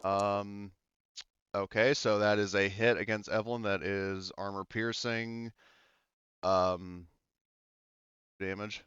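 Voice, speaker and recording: Low-ish bright clear guy running a tabletop game, lots of ums, dry dull-sounding mic. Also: fairly even intonation, slow pace, pauses often, dry recording. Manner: loose and chatty, many fillers, swears. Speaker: game master.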